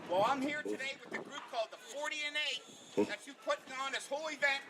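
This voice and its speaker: High-voiced man teaching over outdoor noise. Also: quick pace. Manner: simple question-and-answer teaching. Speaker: flag etiquette presenter. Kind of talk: educational talk to children. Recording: noisy outdoor event recording.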